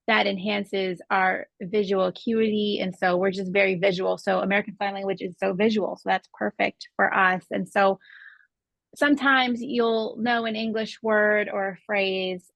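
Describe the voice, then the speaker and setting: Gravelly woman explaining patiently. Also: mid-range voice, a bit bright, no room sound, poor audio. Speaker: podcast guest. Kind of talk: casual podcast conversation.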